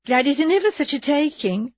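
A woman's voice: high-pitched, lively intonation, fairly quick, no room sound, highs cut off, pitched above the performer's own voice. A woman voicing an anxious young housemaid who rambles and pleads breathlessly.

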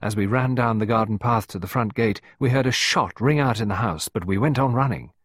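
A man's voice: lowish voice, fairly quick, slightly rough. A man voicing a playful, composed married woman in an audiobook, airy and mock-dramatic. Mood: complacent, mock-dramatic. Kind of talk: audiobook character voice.

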